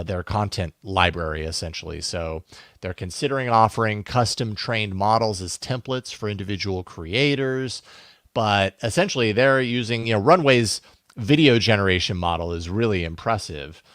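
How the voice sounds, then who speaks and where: Low-voiced man co-hosting tech talk. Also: fairly bright tone, no room sound, clean studio sound. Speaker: podcast co-host presenting news. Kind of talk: casual tech news discussion.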